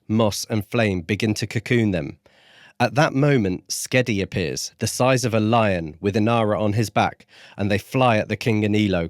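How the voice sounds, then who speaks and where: A man's voice: fairly low pitch, dry recording, clean studio sound. A man co-hosting a book review podcast, recapping and discussing a novel. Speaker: podcast co-host.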